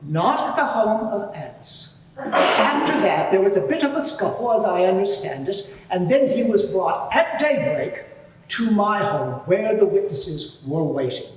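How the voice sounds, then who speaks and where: A man playing an indignant, self-righteous high priest giving pompous, deliberate testimony in a dramatised courtroom inquiry. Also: very animated, slight background noise. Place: large courtroom before a live audience. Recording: very echoey hall, distant mic.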